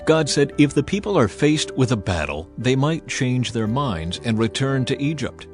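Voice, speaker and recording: A man's voice: medium pitch, big pitch swings, normal speed. A male narrator reads scripture as dramatized audio. His voice sits in a middle register, and music plays behind the scripted narration.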